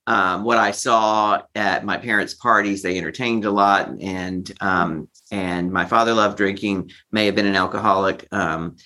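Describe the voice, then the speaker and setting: A man's voice: low voice, fairly even intonation, measured pace, few pauses, sharp tone. A man in his fifties from Texas, telling his personal story candidly and reflectively as an interview guest. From Texas, United States. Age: 50s.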